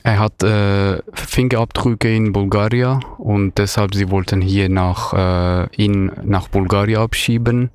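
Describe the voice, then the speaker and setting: A man's voice: fairly low pitch, steady tone, unhurried, few pauses, darkish tone, smooth. A man giving a first-person translation voice-over, hesitant and full of filler sounds. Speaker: interpreter voice-over.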